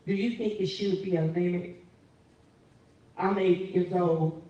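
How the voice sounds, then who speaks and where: A woman's voice: lowish voice, fairly even intonation, measured pace, dark tone, lo-fi sound. A woman, asking questions in a chatty, informal way as interviewer. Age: 60s. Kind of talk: casual community interview. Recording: echoey room from a distance.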